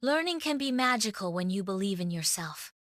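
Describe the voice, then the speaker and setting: A woman voicing a gentle, encouraging fairy guide in a children's bedtime story. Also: medium pitch, lively intonation, brisk, no room sound, studio quality, natural voice.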